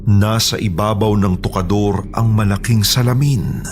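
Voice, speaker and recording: A man's voice: fairly low pitch, normal speed, noisy background. A man with a Filipino accent reading scripted first-person horror narration.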